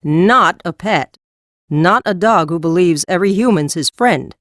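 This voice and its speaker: A woman's voice: on the low side, lively intonation, a little slow, dry recording, studio quality. A woman acting a tough but kindly horse trader in a full-cast audiobook, blunt, practical and no-nonsense. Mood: gruff warning.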